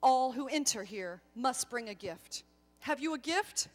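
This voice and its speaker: A solemn guardian angel from a told tale , voiced by a woman. The delivery is measured and firm, with authority but no unkindness, in a fairly high voice. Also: expressive, long reverb tail, faint music behind. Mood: firm, formal.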